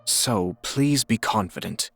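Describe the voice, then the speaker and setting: An actor playing a composed, diplomatic young nobleman with formal, measured speech in an anime video game. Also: lowish voice, gravelly, a bit bright, soft background music, full character voice. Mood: reassuring.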